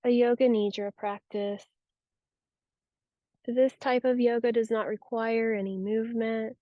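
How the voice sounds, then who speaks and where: A woman guiding a yoga nidra relaxation practice with soothing, unhurried, gentle instruction. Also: a little high, dry recording, dull audio. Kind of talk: guided relaxation meditation.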